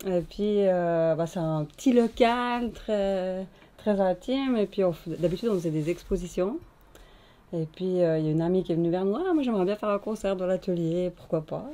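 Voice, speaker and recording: A woman in her forties chatting casually about the small venue she runs, filling pauses with hesitations. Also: fairly low pitch, dark tone. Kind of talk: casual interview chat. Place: small cosy workshop, indoors.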